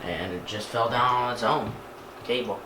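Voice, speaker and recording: A man casually talking through an unboxing and setup as he works, halting and half muttering to himself. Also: medium pitch. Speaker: YouTuber unboxing and setting up a radio. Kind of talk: casual unboxing narration while handling items. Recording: echoey room with clear background noise.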